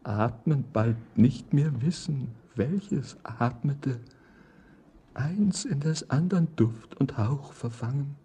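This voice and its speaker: A man playing a solemn, world-weary lover yearning toward death in a poetic radio drama, declaimed with long pauses. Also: mid-range voice, warm tone, natural voice. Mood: rapturous yearning. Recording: dull lo-fi radio recording with noise.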